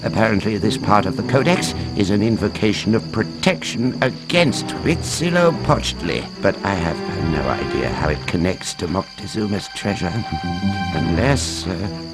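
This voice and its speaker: A man acts out a mild scholarly forger from a video game cutscene. His voice sits low but rises and falls dramatically as he explains things in a storytelling, slightly hesitant way. Clear music plays behind him. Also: expressive, normal speed, lightly acted, rough recording. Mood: storytelling, intrigued. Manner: expository storytelling with hesitant chuckles. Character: mild, learned male professor and forger, chuckling and hesitant.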